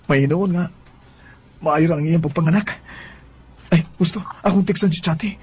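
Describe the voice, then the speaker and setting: A man acting in a Bisaya radio drama as a smooth-talking, evasive young delivery driver with a Cebuano Filipino accent, given to exaggerated reactions and muttered asides. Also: on the high side, measured pace, darkish tone. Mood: hesitant. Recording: noisy radio drama recording.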